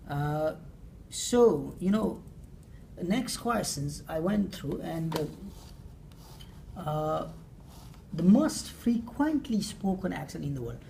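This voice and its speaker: A woman with a Nepali accent presenting survey results, speaking haltingly and often rephrasing her questions. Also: low voice, lively intonation, gravelly, warm tone. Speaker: student presenter. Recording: distant mic with faint room noise.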